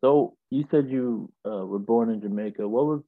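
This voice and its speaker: A man's voice: mid-range voice, normal speed, narrow, tinny audio. A man hosting a podcast interview, asking easygoing questions and giving short nods of agreement. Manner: brief affirmations, easygoing questions. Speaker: podcast host. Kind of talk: casual interview.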